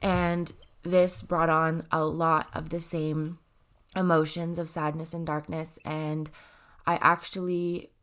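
A woman's voice: sits low, level tone, takes it slowly, fairly bright tone. A woman in her twenties with a stuffy, congested nose, talking casually and rambling with lots of fillers and self-corrections. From Canada. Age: 20s. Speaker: vlogger. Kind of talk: casual personal talk to camera.

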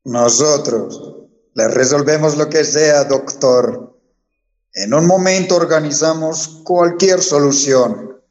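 A man's voice: fairly high pitch, slow pace, a little mellow. An actor with a Colombian accent playing an obsequious, sinister henchman in a radio drama, in a reverberant, dull recording. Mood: servile menace. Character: obsequious male henchman, eager to silence enemies. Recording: long reverb, slightly dull sound.

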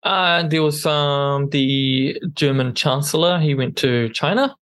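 A man's voice: fairly high pitch, slow, fairly bright tone, dry recording, studio quality. A man co-hosting a podcast in casual news chat, with a non-native English accent, talking hesitantly with many ums and restarts. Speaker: podcast co-host.

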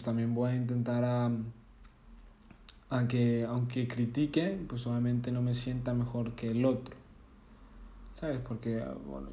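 Young man, reflective monologue, mellow dark tone, roomy echo. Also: mid-range voice. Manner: casual and earnest, with self-corrections and tag questions. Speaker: vlogger.

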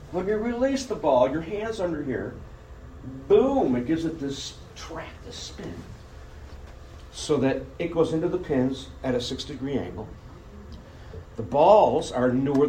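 An older American man giving an emphatic public speech with personal anecdotes and dramatic pauses. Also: medium pitch, lively intonation, mellow. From Buffalo, United States. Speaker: club speaker. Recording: noisy live room recording.

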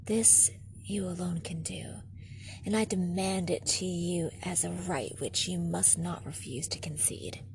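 A woman's voice: fairly low pitch, fairly even intonation, a little slow, vocal fry, noisy background. A woman voicing an aggrieved male fiend in a gothic audiobook, formal and insistent in his pleading. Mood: demanding. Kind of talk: audiobook character reading.

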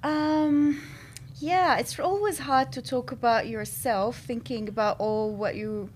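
A woman in her thirties from Syria talks slowly, pausing often as she thinks through her answers. Faint ambient noise sits behind her voice. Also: a little high, steady tone. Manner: hesitant, many fillers and restarts, thoughtful. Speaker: podcast guest. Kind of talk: casual interview answers.

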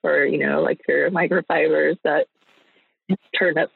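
A woman's voice: deep voice, fairly even intonation, a little slow, gravelly, slightly rough. A young adult woman chatting casually as an interview guest, thinking aloud in a hesitant way. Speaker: guest, small business owner. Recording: thin phone-like line.

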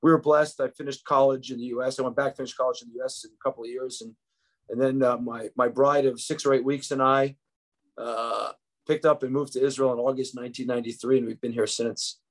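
A man's voice: mid-range voice, steady tone, a little fast. A man in his fifties from New York, with a New York accent, a podcast guest telling his life story in a reflective, conversational way with frequent restarts. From United States.

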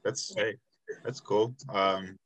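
A man's voice: fairly low pitch, steady tone, slow, fairly bright tone, husky. A man in his twenties hosting a casual interview, laid-back and loose, with lots of fillers and casual swearing. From Chicago south suburbs, USA. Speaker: podcast host.

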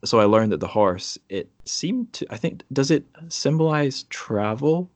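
A man's voice: mid-range voice, very animated, a little slow, dry recording. A man hosting a podcast in a casual, conversational interview style, thoughtful and a little tentative. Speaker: podcast host.

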